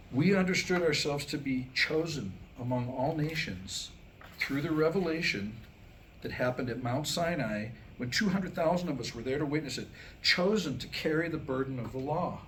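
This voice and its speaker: Wry American man, higher-pitched, noisy live room. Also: lively intonation, fairly bright tone. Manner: wry, self-deprecating, deliberate joke delivery with pauses. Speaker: writer and performer reading his own new comic script. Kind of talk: staged comedic monologue to a live audience. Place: live staged reading before a small audience.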